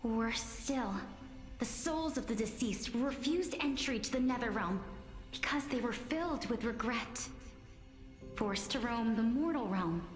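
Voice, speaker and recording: A playful young woman storyteller from a video game. Her voice is high and theatrical, made for dark legends. It rings with long reverb, and music plays behind her. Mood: grave, ominous. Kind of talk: video game voice acting, dramatic tale narration.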